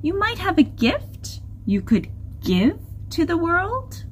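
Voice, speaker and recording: Woman voicing a high-pitched feisty young girl crab. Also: big pitch swings, takes it slowly, exaggerated voice. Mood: gentle, encouraging. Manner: urgent, high, emphatic, earnest. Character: clever, kind, feisty young female crab.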